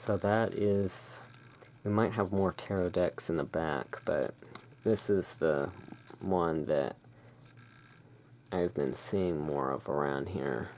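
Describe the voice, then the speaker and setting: A soft-spoken ASMR performer playing the relaxed owner of a gothic curiosity shop in a soothing, unhurried manner. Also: deep voice, slight background noise.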